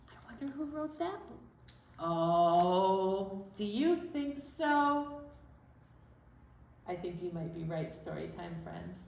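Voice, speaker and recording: Slow, animated woman hosting children's storytime. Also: medium pitch. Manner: playful, expressive, prompting the children with questions. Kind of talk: interactive storytime for preschoolers. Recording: echoey room.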